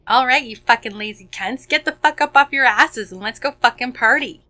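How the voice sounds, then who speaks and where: A woman's voice: fairly high pitch, moderate pace, bright, forceful tone. A woman playing a brash, crude, provocative college party girl in an audio drama, pushy and taunting. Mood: bossy, excited. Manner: foul-mouthed, pushy, taunting.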